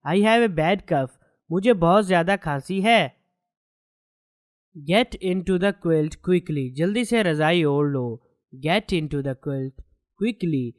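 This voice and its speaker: A man teaching English, reading each short sentence slowly twice with its translation. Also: fairly high pitch, no echo. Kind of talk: slow sentence drills with translations.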